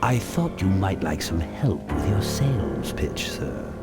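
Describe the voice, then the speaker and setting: A pompous, scheming executive underling. His voice is extremely low, his manner formal and condescending, and music runs clearly behind him. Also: big pitch swings, measured pace. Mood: oily, persuasive. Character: smooth, scheming, haughty male corporate right-hand man. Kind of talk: video game voice acting.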